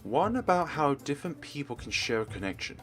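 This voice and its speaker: A man's voice: mid-range voice, sing-song, a little fast. A man hosting a let's play, reading visual novel dialogue and narration aloud in character. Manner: reads in character, shifting between parts. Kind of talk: dramatic read-aloud of visual novel text. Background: soft game music.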